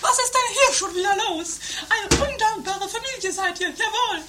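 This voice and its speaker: A woman's voice: extremely high pitch, normal speed. A woman acting a fretful, quarrelsome woman in an improvised radio comedy, with melodramatic complaining. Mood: indignant complaining. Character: shrill, fretful, quarrelsome woman of a bickering family. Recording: amateur 1980s radio play with loud music.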